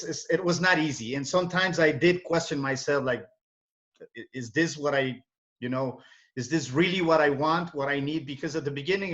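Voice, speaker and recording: A man's voice: fairly high pitch, fairly bright tone. A middle-aged man from Ecuador with a Spanish accent, a native Spanish speaker, answering interview questions in a reflective, explanatory way. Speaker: interview guest, English teacher. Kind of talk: casual interview. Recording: dull, lo-fi call audio.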